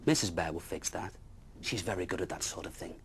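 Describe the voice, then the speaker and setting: A man's voice: deep voice, brisk, slight background noise. Man playing a bumbling, kindly bear. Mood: earnest. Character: good-hearted, fumbling father bear.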